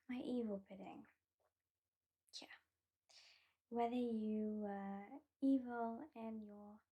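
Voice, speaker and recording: Woman playing a haughty, breathy British vampire lady. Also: on the high side, full character voice. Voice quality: soft and breathy. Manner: slow, teasing, condescending, often drops to a whisper. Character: haughty female vampire who claims the listener as a pet. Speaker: ASMR roleplay performer. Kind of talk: ASMR roleplay.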